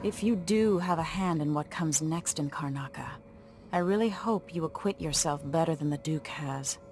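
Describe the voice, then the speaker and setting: Low, even-voiced exiled empress. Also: female voice. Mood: cool, firm. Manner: measured, cool, determined. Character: deposed young empress turned masked avenger, resolute and guarded. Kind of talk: video game voice acting.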